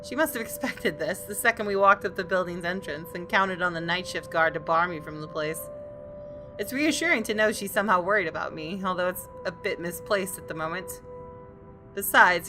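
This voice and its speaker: Casting: female gaming host reading story narration, slightly low voice, clear game music behind her. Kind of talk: reading visual novel narration aloud with casual asides.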